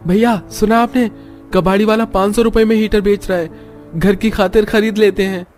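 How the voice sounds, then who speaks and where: A man's voice: sits high, fairly even intonation, normal speed, a little mellow, faint music behind, natural voice. A man playing a naive, eager young labourer in a cartoon family drama, speaking in an earnest, persuasive way.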